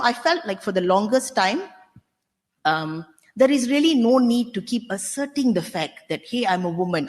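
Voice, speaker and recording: Middle-aged woman, mid pitch, candid panel speaker, hall reverb. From Malaysia. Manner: candid, emphatic, frequent "you know" and restarts. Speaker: panelist, senior legal counsel. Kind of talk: conference panel talk. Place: conference hall.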